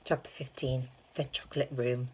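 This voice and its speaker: A woman narrating a children's storybook, halting and sometimes stumbling over long words. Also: extremely low pitch, level tone, breathy edge, rough recording. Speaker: storybook reader, teaching assistant.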